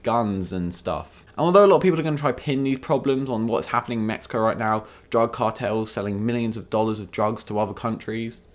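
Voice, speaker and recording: A man's voice: on the low side, a little fast, hardly any pauses. Sarcastic young English man vlogging. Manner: sarcastic, mock-outraged. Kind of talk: comedic news commentary.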